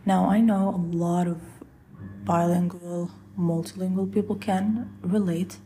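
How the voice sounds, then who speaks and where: A young woman whose first language is Arabic, hosting a solo podcast in a soft, often breathy and half-whispered, rambling way. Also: lowish voice, a little slow, a little hiss or hum. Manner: relaxed, rambling, with fillers and restarts. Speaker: podcast host.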